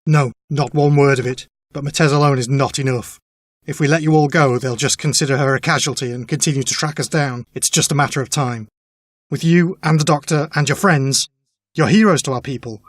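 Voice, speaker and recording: A man's voice: mid-range voice, fairly quick, no echo, studio quality, natural voice. A man playing a principled, desperate but humane rebel cell leader in an audio drama, speaking seriously and earnestly. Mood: regretful, firm.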